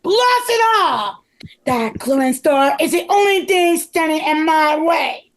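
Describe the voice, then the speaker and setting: A woman's voice: sits high, animated, slow, a little hiss or hum, heavily acted. A woman voicing a greedy, scheming male villain with a blustering, petulant manner in a table read. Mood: scheming, frustrated. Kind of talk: table read of a comic script.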